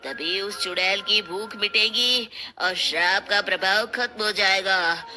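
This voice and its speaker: A woman's voice: medium pitch, measured pace, over loud music, natural voice. A woman voicing an imposing male tantric holy man, solemn and portentous, as if pronouncing a doom. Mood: ominous.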